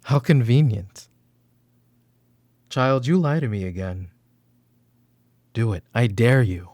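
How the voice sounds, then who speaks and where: A man playing a stern, controlling middle-aged mafia boss and protective father in an ASMR roleplay, with commanding, deliberate, menacing delivery. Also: medium pitch, expressive, darkish tone, no echo. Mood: stern, angry.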